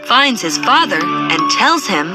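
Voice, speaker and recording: A woman's voice: on the high side, sing-song, measured pace. A woman reading a scripted fairy tale as its narrator. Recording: loud music under narration.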